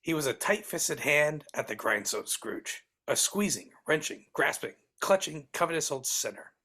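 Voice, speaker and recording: Woman as a husky, low-voiced storyteller. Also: animated, moderate pace, fairly bright tone, full character voice. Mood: dramatic. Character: Charles Dickens as genial storytelling narrator. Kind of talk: live dramatic script reading. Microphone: video call. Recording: online video call track.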